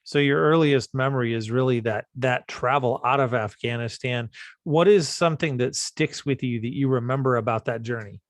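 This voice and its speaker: A man's voice: medium pitch, normal speed, dry recording. A man interviewing a podcast host, asking friendly, encouraging questions about his childhood. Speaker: interviewer, panel guest.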